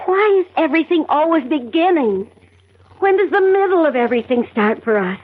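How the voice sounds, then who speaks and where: A high-pitched American housewife in her forties, worn down and bitter. It is old radio drama acting, heard through a muffled vintage broadcast recording. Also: lively intonation, brisk. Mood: despairing. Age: 40s. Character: weary, bitter forty-one-year-old housewife and mother, pregnant.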